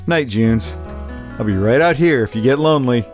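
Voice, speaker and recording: A man's voice: medium pitch, lively intonation, normal speed, faint music behind, close to an everyday voice. A man playing a roguish, easygoing bum with a wry, flirtatious manner in a noir-flavoured audio drama. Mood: wry, relaxed.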